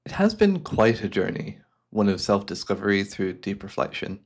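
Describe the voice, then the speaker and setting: A man's voice: lowish voice, big pitch swings. A man playing a courteous, devious hypnotist who speaks in a formal, soothing, theatrical way, as in an ASMR roleplay. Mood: reassuring. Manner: suave, formal, unhurried, theatrical. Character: devious, courteous male hypnotist guiding a client.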